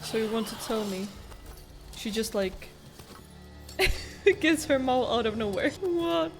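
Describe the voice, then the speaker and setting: A woman's voice: a little high, animated, slow pace. A woman giving casual, sarcastic and critical gameplay commentary, with some swearing. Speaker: gamer commenting on a playthrough. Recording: game music behind the voice.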